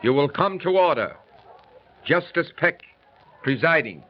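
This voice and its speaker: A stern, officious court crier, proclaiming in a rather high male voice. The formal radio-drama delivery sits on a dull, faintly noisy 1930s recording. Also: expressive, unhurried.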